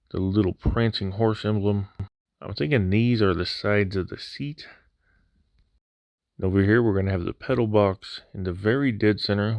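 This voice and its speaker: Low-voiced male hobbyist, laid-back and wry, voice slightly worn, dry close-mic voice-over. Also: darkish tone, dry recording. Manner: casual, dry humor. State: recovering from near voice loss. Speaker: scale model builder. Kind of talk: voice-over unboxing walkthrough.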